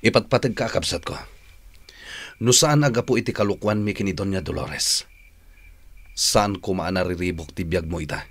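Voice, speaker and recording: Deep, husky, resolute older brother. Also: male voice, normal speed, long pauses, fairly bright tone, heavily acted. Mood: remorseful, earnest. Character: Manong Lindon, resolute, remorseful older brother. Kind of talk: Ilocano radio drama acting.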